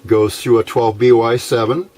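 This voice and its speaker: An older American man giving an informal technical explanation, unhurried and folksy, pausing often between short phrases. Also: medium pitch, little pitch movement, a little mellow. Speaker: hobbyist radio builder, presenter.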